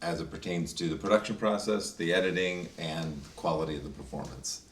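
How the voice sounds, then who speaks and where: Man, cast member, very deep voice, inquisitive, casual, echoey room. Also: steady tone, a little fast, slight background noise. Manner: inquisitive, asks the others questions. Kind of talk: guest chat. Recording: echoey room, distant mic.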